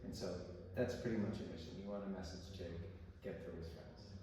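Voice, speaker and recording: A man's voice: low voice, fairly even intonation, brisk, a crowd in the background. A man working as an interviewer, talking conversationally and encouragingly as he explains a user test and asks open questions. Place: reverberant indoor room, far from mic. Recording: very echoey room, distant mic, noisy.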